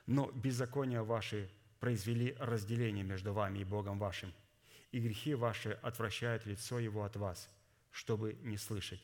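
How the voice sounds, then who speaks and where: A man delivering a sermon in a formal, didactic, deliberate way, his voice somewhat hoarse from a cold. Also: lowish voice, steady tone. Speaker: preacher. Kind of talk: sermon with scripture readings and exposition.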